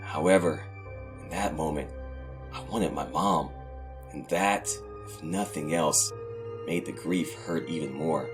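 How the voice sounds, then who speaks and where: Low-pitched man as a grieving young orphan. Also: a little slow. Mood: grieving, bitter. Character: grief-stricken young orphaned man narrating his tragedy. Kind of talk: first-person horror audio drama narration. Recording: faint music under the voice.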